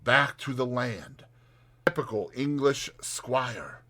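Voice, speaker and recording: A male audiobook narrator with a bright voice. He reads scripted storybook prose in a dramatized style, with lively swings in pitch. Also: slow.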